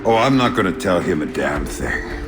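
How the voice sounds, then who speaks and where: An actor playing a gruff, hardened middle-aged ex-agent in a video game, curt, menacing and dryly sardonic. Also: male voice, sits low, sing-song, moderate pace. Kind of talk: cinematic video game acting. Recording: loud music behind the voice.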